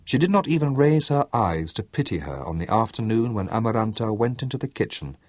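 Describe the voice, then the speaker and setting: Low husky-voiced man narrating a novel. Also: big pitch swings, fairly quick, darkish tone, no room sound, clean studio sound. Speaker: audiobook narrator. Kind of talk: scripted literary narration.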